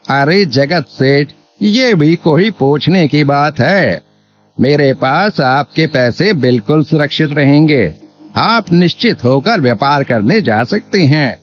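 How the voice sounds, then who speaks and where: A man's voice: fairly high pitch, lively intonation, slow. A man playing a greedy, falsely humble village landlord in a cartoon moral story, with an oily, over-polite manner. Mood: oily flattery. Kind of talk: Hindi animated moral story acting. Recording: dull lo-fi sound, faint music bed.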